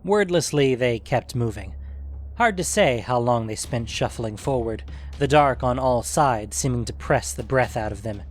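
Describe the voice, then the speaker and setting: A man narrating a scripted fantasy story in a measured, suspenseful, dramatic audiobook manner. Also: medium pitch, lively intonation, soft background music.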